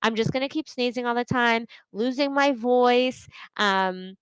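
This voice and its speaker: A woman's voice: a little high, measured pace, clear, resonant tone, no echo, rough recording. A middle-aged woman hosting a solo podcast, talking earnestly and conversationally, often checking in with "right?". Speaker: podcast host and coach.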